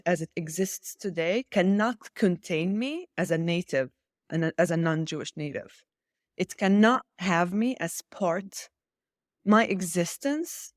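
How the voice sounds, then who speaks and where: A woman's voice: on the low side, no room sound. Woman, interview guest, political discussion, emphatic, challenging, insistent, repetitive for emphasis, dry recording. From Israel. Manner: repeats key phrases for emphasis.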